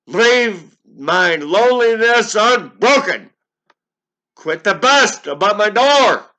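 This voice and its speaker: A man performs a grieving gothic poem narrator in torment. The voice is pushed high and forceful, melodramatic and pleading, with each phrase dragged out slowly. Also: expressive, exaggerated voice. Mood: furious anguish. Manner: melodramatic, drawn-out pleading and shrieking. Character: anguished grieving man raging at an ominous bird. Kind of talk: theatrical gothic poetry recitation.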